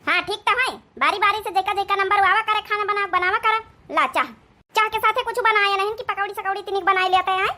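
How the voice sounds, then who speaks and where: A woman acts out an old village mother-in-law from a comedy cartoon, speaking with an Awadhi accent. She is extremely high and piercingly bright in tone. She grumbles and nags with sarcastic bite. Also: fairly even intonation, fast talker. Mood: complaining. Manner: complaining, sarcastic, nagging.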